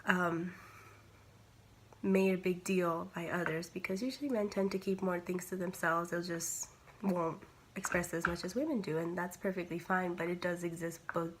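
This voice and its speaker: A young woman with a slightly raspy voice from a cold, talking candidly and casually in a personal vlog. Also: on the low side, fairly even intonation, moderate pace. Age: young adult. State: recovering from a cold. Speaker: vlogger. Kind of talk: casual personal talk to camera. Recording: clear background noise, homemade vlog.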